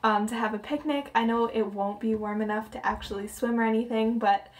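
A woman's voice: a little high, fairly even intonation, fairly quick. A young woman chatting upbeat to a phone camera held at arm's length, with many fillers, indoors at home and outside at a beach. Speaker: vlogger. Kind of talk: casual vlog chat. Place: home bathroom and kitchen, sunny beach outdoors.

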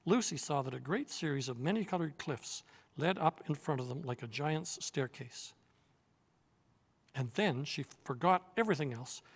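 Older male reader, slightly raised pitch, calm storyteller, reverb tail. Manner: measured, steady storytelling. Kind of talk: reading a children's novel aloud. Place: studio set with armchair and lamp.

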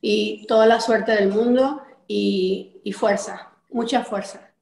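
A woman's voice: mid-range voice, steady tone, takes it slowly, vocal fry, a little mellow. A middle-aged Cuban American woman from Miami answering interview questions conversationally over a video call in an echoey room. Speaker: interview guest, artist and activist. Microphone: video call, sitting back from the camera.

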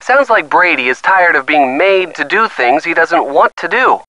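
A man's voice: fairly high pitch, lively intonation, normal speed, pushed and bright, dry recording, lo-fi sound. A man playing a supportive, easygoing young boyfriend with a wry, teasing manner in a detective video game. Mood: thoughtful, supportive. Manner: teasing, encouraging. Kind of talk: video game dialogue acting, phone conversation.

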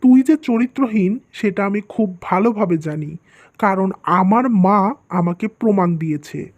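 A woman's voice: medium pitch, measured pace, natural voice. A woman voicing a stern, easily hurt young husband and son in a cartoon drama. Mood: stern, angry. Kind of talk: dubbed cartoon drama dialogue.

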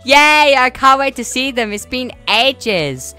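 A woman voicing a cheeky, excitable young son in a family roleplay game. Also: sits high, slow, clear, resonant tone, faint music behind, voice pushed up, heavily acted. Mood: excited.

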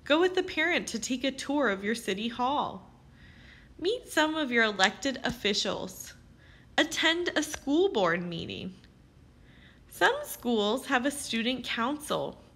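A woman reading aloud as a teacher, with expressive, carefully phrased delivery aimed at young children. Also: a little high, slow. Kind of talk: children's read-aloud narration.

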